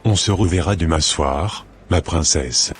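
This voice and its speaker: A voice actor playing a secretive male lover in a cartoon, who speaks slowly and in a hushed way. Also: extremely low pitch, faint music behind.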